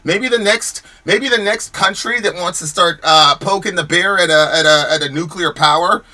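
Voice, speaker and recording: An American man argues into the mic with a sharp, forceful edge, and his voice sits a little higher than most. He fires off rhetorical questions and restarts sentences as he presses his point. It sounds like a podcast host answering his chat. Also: moderate pace. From United States. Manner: repeats words and restarts when worked up. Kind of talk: heated livestream commentary answering chat comments.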